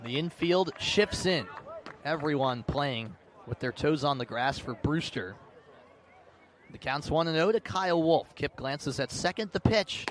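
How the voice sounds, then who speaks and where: A man doing live baseball play-by-play commentary, narrating pitch by pitch in short, clipped phrases. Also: expressive, measured pace. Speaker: play-by-play announcer. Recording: clear outdoor ballpark background noise. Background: outdoor ambient noise.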